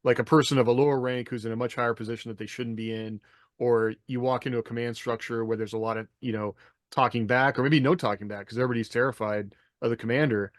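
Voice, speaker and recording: A man's voice: mid-range voice, steady tone, quick pace, no room sound. Man talking loosely, dry close sound. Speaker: podcast co-host. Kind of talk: casual panel discussion of writing. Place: indoor room on a video call.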